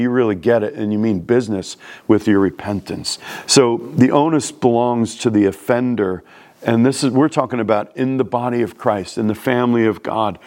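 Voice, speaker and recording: A man's voice: mid-range voice, a little mellow. Blunt New York preacher man. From New York City. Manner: plain-spoken, blunt, conversational preaching. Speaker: pastor. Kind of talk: sermon.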